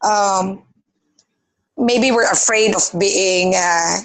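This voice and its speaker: Earnest woman from the Philippines, mid-pitched, casual group talk with fillers. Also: very slow, rough recording. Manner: thoughtful, earnest, frequent fillers. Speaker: student in group discussion. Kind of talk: casual discussion.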